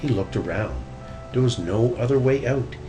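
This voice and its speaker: A man's voice: medium pitch, animated, normal speed. A man narrating a children's picture book as a storybook reader. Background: music.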